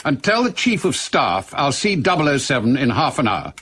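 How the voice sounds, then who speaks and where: A man acting in a radio drama as a middle-aged British intelligence chief, brisk, authoritative and clipped. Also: medium pitch, very animated, a bit bright, breathy edge. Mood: brisk, businesslike.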